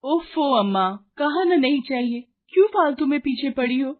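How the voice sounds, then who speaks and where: A woman's voice: high voice, expressive, normal speed, pitched above the performer's own voice. A woman voicing an irritated, dismissive young customer in a moral story cartoon, on a thin recording. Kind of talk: animated moral story dialogue. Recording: thin phone-like band.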